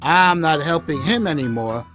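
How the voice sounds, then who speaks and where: A man's voice: a little high, expressive, moderate pace, fairly bright tone, soft background music. A man voicing stern, suspicious villagers in a children's storybook, speaking in an emphatic, admonishing way. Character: stern, suspicious townspeople warning a boy.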